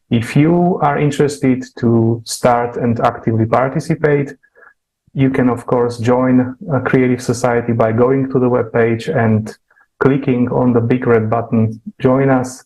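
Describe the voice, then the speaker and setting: A man hosting an online discussion, speaking in a halting way with frequent uhs. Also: mid-range voice, darkish tone, low-quality recording. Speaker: discussion host.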